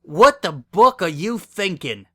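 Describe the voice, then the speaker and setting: A man's voice: sits high, expressive, takes it slowly, pushed and bright, voice pushed up, exaggerated voice. A man voicing a haughty, scornful young female pony with a pompous, sniffy manner in a dramatic story reading. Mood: outraged.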